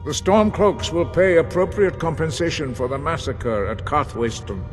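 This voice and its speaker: An actor playing a solemn elder mediator in a fantasy game, formal and measured. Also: male voice, fairly high pitch, natural voice. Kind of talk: fantasy video game voice acting. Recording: long hall reverb, faint music.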